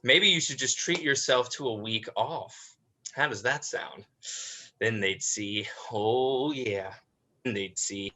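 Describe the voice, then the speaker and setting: Slow, sharp-voiced grumbling older brother played by a man. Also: mid-range voice. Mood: bitter grumbling. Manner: muttering to himself, sardonic. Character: eldest brother David, grumbling, put-upon fussy housekeeper. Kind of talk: dramatic play script reading.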